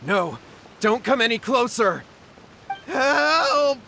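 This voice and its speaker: A man's voice: very high-pitched, sing-song, slow pace, creaky, full character voice. A man voicing a nervous, cowardly, scheming young brother in a video game, flustered and pleading. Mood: panicked. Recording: music behind the voice.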